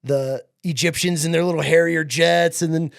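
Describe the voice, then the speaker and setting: Male guest, late thirties, from upstate New York; relaxed, profane storyteller whose voice sits slightly high. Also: normal speed, creaky. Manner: laid-back, profane, says "dude" and "like" a lot. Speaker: podcast guest, Marine veteran. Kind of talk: casual interview storytelling.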